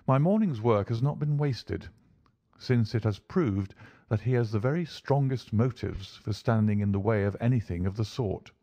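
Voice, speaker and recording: An urbane gentleman detective from a classic storybook, played by a male narrator. He is imperturbable and courteous, with dry amusement, in a close, dry recording. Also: on the low side, animated, normal speed, no room sound, dull audio. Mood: purposeful.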